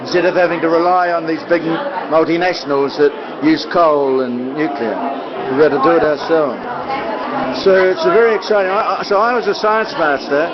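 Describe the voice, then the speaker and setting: Older NZ man, chatty rambler, a touch high-pitched, lo-fi recording in a packed room. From New Zealand. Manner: chatty, meandering, many filler pauses. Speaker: live-streaming videographer. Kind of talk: rambling casual chat. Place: busy indoor boutique at an event. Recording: dull lo-fi audio with loud crowd.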